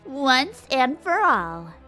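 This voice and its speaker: A man voicing a poised young filly who plays a royal advisor, sly and measured. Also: very high voice, sing-song, pushed and bright, soft background music, voice pushed up, full character voice. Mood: sly persuasive.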